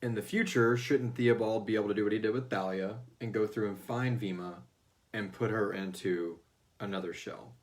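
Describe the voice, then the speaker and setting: A man's voice: fairly low pitch, fairly even intonation, moderate pace. Casual, profane man hosting a Q&A. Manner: informal, profane, jokey. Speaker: host of a fan Q&A show. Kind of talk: casual chat answering listener questions.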